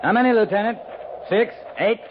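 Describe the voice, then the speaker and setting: An actor playing a dry, calm, commanding cavalry captain in a 1950s radio western, terse and understated. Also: male voice, sits high, normal speed, close to an everyday voice. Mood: calm, measured. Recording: muffled, hissy 1950s radio recording.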